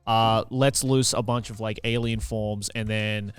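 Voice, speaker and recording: A man's voice: fairly low pitch, fairly even intonation, a little slow, clear, resonant tone, no room sound, soft background music. Man chatting casually on a close, dry mic. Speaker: podcast co-host. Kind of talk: casual podcast chat about video games.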